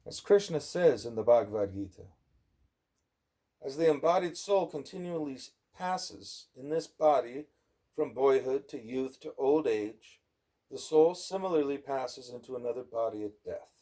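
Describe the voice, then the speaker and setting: A man reading a scripted spiritual dialogue as an audiobook, in a measured way with emphasis on rhetorical questions. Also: medium pitch, animated, dark tone. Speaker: audiobook reader.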